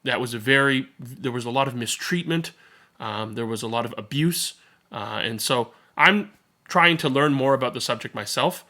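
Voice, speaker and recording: A man's voice: mid-range voice, normal speed, bright, forceful tone. A man who reads children's stories aloud and talks casually as host. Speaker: storybook reader and channel host. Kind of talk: children's read-aloud narration with casual commentary.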